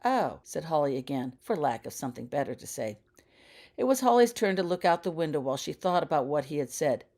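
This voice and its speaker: A woman with a low voice narrates a story as an audiobook. She reads scripted prose as the author of the tale. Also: lively intonation, a little fast, darkish tone. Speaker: author reading own fiction.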